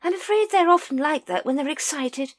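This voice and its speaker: A woman voicing a hearty, booming upper-class lady who talks in a gushing, brisk and jolly way. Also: expressive.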